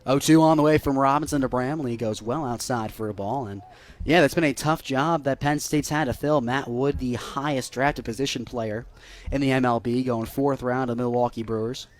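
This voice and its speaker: A young American man doing live radio baseball play-by-play, lifting into excited calls on big plays. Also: medium pitch, moderate pace. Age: young adult.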